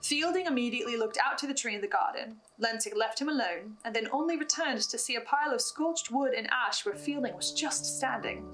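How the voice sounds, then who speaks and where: A woman's voice: fairly high pitch, fairly quick, hardly any pauses, a bit bright, faint music behind, low-quality recording. A woman presenting a scripted recap of a horror story, adding her own theories and asides. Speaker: host of a recap and theory video on a horror podcast.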